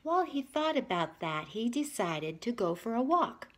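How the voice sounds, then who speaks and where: A woman teacher reading a children's picture book aloud expressively, stepping out of the story to talk to young listeners. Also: on the high side. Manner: expressive, pausing to ask listeners questions.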